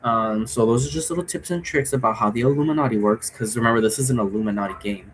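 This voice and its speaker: A man hosting in a casual, rambling, explanatory way, halting often and checking in with the listener. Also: mid-range voice, poor audio. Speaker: host explaining role-play game rules. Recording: noticeable background noise.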